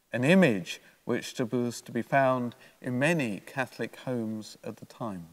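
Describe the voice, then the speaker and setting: Older priest in a reverberant church. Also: male voice, mid-range voice. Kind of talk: homily, read from prepared notes. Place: church, at a lectern. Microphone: gooseneck lectern microphone.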